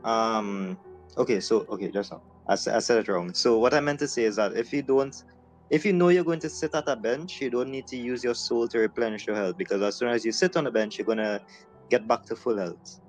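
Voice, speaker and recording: A man's voice: mid-range voice, brisk, soft background music, poor audio. A man co-hosting a live stream, chatting casually and hesitantly, with restarts and many "like"s. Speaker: co-host.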